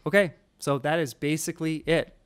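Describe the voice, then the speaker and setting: A man in his thirties teaching audio editing in a relaxed, explanatory way, recorded on a studio microphone in a small home studio. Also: fairly high pitch, brisk, clean tone. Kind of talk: screen-recorded tutorial. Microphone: studio mic in frame, wearing headphones.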